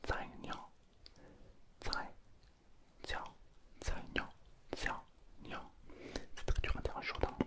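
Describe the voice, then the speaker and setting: A man's voice: slow, long pauses. A man whispering a playful, self-mocking ASMR roleplay as a pretend Mandarin teacher, close to the mic.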